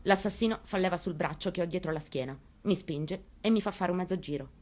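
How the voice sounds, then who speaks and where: A woman's voice: on the low side, a little fast, darkish tone, lightly acted. A woman performing a dramatic monologue reading as a haunted, plainspoken mother recounting her story. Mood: tense recounting. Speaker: actress in a staged reading.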